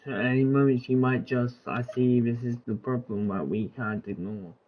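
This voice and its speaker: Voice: medium pitch, steady tone, normal speed, narrow, tinny audio, slight background noise. A game streamer giving casual, slangy commentary, often talking to themselves while playing. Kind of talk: casual gameplay commentary, reading dialogue aloud. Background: game music.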